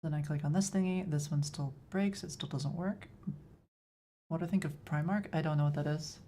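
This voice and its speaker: A woman's voice: deep voice, a little fast, warm tone. A woman from Texas with a Texan accent, chatting casually with her live chat while she codes. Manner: chatty, answers chat questions, self-deprecating. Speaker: coding streamer.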